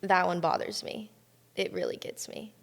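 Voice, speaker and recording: A young woman from California, a college softball player chatting casually as an interview guest, telling lively stories with lots of fillers. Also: on the low side, moderate pace, gravelly. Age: young adult. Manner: chatty, animated storytelling with many fillers.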